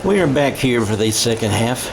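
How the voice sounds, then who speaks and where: A man's voice: mid-range voice, big pitch swings, moderate pace. American man calling football over outdoor noise. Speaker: radio-style play-by-play announcer. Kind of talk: live football play-by-play commentary. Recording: noisy outdoor game sound.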